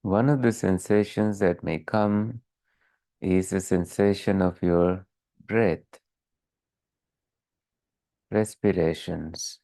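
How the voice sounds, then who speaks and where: A man leading a guided meditation as a teacher, calm and measured, leaving long pauses between short phrases. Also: low voice, no echo.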